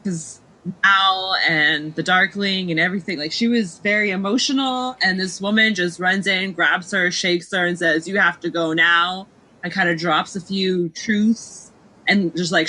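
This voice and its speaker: A woman's voice: fairly low pitch, moderate pace, bright, forceful tone, low-quality recording. A woman chatting casually as a podcast panelist about books, explaining emphatically in long run-on sentences. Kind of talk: casual book discussion.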